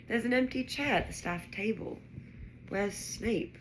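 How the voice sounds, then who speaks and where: A woman's voice: fairly high pitch, unhurried, voice pushed up, lightly acted, low-quality recording. A woman voicing a young wizard boy in a storybook reading, with faint noise behind. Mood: curious muttering. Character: young wizard boy, weary and urgent, Harry.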